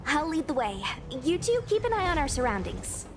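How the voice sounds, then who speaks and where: A woman's voice: high voice, sing-song, normal speed. A woman voicing a brash, bossy young female knight in a video game, with an informal, assertive delivery. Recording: music behind the voice.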